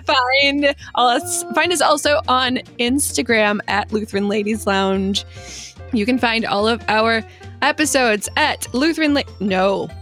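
A woman's voice: a little high, measured pace, a bit bright, faint music behind. A woman podcast host chatting casually and wrapping up the show in a playful, informal manner. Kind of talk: casual chat and show wrap-up.

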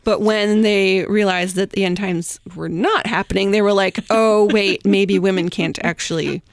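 A woman's voice: medium pitch, fairly even intonation, moderate pace, no echo. A woman hosting a podcast, telling a researched history story in an informal, conversational way.

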